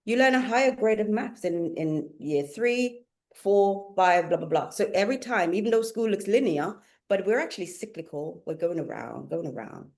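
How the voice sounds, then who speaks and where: A woman with a Jamaican accent who lives in England, a spiritual teacher talking as a guest in a casual conversation, playful and quick to laugh. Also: medium pitch. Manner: laughs often, playful and animated.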